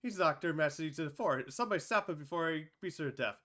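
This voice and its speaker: A jittery court attendant yelps and frets in a high, frantic voice, as a man puts him on. The tone is sharp and bright, like a fan-dubbed fantasy cartoon. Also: expressive, moderate pace. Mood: panicked. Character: panicky male court attendant.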